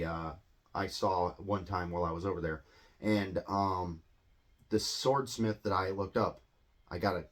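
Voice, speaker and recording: A man gives an unscripted English lesson in a low-pitched voice. He rambles, fills pauses with ums and uhs, and restarts his sentences. The tone stays loose and conversational, like a casual explainer video. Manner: rambling, filler-heavy, self-correcting. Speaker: English teacher.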